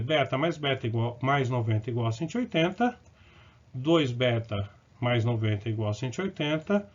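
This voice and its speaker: A man teaching math, explaining step by step and correcting himself as he goes, with short check-ins to the viewer. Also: fairly high pitch. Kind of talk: recorded lesson working through problems. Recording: dull, lo-fi recording.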